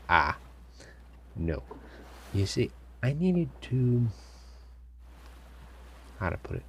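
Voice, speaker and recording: Man playing a deep, gravelly pirate captain. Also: a little hiss or hum, heavily acted. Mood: sly, amused. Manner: theatrical, deliberate, formal phrasing with teasing pauses. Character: male pirate captain, sly, commanding, smugly amused. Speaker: audio roleplay performer. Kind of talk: scripted immersive audio roleplay addressed to listener.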